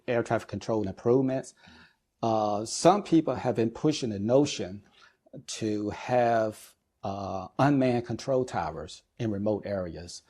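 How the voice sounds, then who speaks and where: A middle-aged man speaking as an expert interview guest, explaining things in a measured, deliberate way with pauses between phrases. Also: on the low side, a little mellow. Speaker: guest expert, air traffic controller and business executive.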